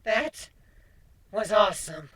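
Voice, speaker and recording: A man putting on an excitable, high-pitched whiny pony. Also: takes it slowly, heavily acted. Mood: pained whining. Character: brash, excitable male pony Nightmare, whining and cocky.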